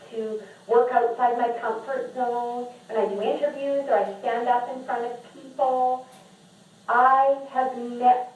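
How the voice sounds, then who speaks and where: A woman talks openly as a podcast guest. She sounds earnest and often restarts her sentences with repeated words. Her voice sits a little high and comes from away from the mic, in a strongly echoing room. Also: a little mellow. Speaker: podcast guest and memoir author. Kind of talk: candid conversational interview. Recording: echoey room, distant mic.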